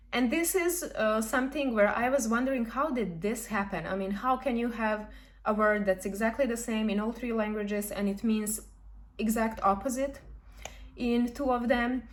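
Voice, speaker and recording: A young woman talks through language points in a friendly, thoughtful way. Her voice sits a little high. She pauses with ums and corrects herself as she goes, like someone chatting to camera. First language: Serbian. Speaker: language vlogger. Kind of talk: explaining to camera.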